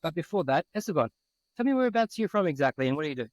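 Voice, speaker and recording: A man with a slightly high voice hosts a casual interview podcast. He talks informally and teasingly, recorded close and dry with little room sound. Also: big pitch swings, very fast, no room sound. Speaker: podcast host.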